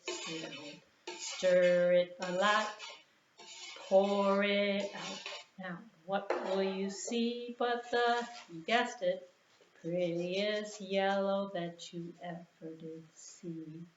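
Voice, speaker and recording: A woman's voice: medium pitch, steady tone, very slow, music in the background. A woman hosting a children's storytime in an encouraging way, with simple, repeated sing-along phrases and questions for young listeners. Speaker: children's storytime host at a library. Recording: roomy echo, dull sound, background noise.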